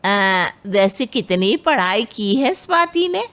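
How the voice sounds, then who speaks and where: Casting: woman playing exacting, old-fashioned middle-aged mother-in-law for a moral story, unhurried but very animated in pitch. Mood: probing, demanding. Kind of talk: dramatized Hindi moral story.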